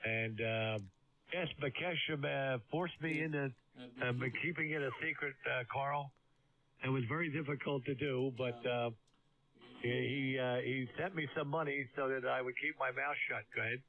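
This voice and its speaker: A man's voice: mid-range voice, fairly even intonation, moderate pace. Man, ham radio operator, easygoing, medium voice, tinny phone-like audio. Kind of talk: casual on-air radio chat.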